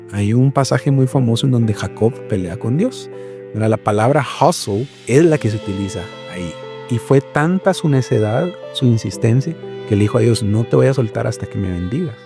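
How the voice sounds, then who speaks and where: A man from Guatemala, a missionary guest explaining his work in a reflective, conversational way, often checking in with "¿verdad?". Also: mid-range voice. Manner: reflective, explains slowly with many fillers and "¿verdad?". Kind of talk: conversational interview.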